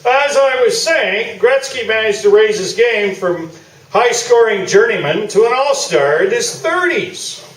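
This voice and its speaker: A man acts out a self-important old newspaper sports columnist in a comic radio play. The voice sits fairly high and rambles along, boastful and blustering. It is heard from a distance in an echoey theatre. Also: expressive, measured pace. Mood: smug, nostalgic. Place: small theatre stage, live performance. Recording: echoey stage, distant camera mic.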